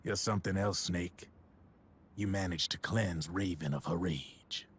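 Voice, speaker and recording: An actor playing a sly middle-aged arms dealer, talking in a wry, husky storytelling voice. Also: male voice, low voice, unhurried, a little hiss or hum.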